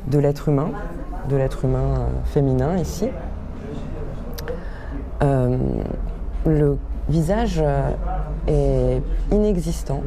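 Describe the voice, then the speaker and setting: Slow, low-voiced woman with heavy hesitation. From France. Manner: hesitant, many filler sounds, thoughtful pauses. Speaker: artist. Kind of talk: casual self-presentation to camera. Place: cultural centre during a New Year event. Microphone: handheld camera at arm's length. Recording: crowd noise around the camera. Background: crowd chatter.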